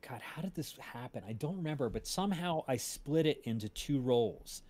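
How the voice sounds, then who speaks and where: A man's voice: medium pitch, animated, fairly quick, a little mellow. A man hosting a casual livestream chat, rambling along and often searching for words as he tells stories. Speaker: podcast creator and stream host.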